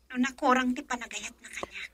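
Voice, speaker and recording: Isang babaeng gumaganap bilang anak na dalagang nasasaktan sa pag-ibig, na may punto ng Ilocano, sa isang radio drama. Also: female voice, high voice, fairly even intonation, brisk. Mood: emotional. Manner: emotional, shifts from soft sighs to loud pleading. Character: young woman torn over love, daughter.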